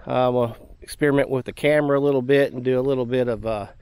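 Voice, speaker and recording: A man narrating a camping vlog in a relaxed, rambling way with many fillers, outdoors at a campground. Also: medium pitch, rapid-fire, clean tone, no echo. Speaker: camping vlogger.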